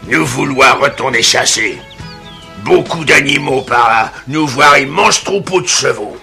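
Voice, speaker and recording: An actor voicing a brutish, boastful prehistoric hunter chief who talks in broken speech in a cartoon dub. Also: male voice, medium pitch, measured pace, sharp tone, faint music behind, exaggerated voice. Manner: broken speech, gruff mocking laugh.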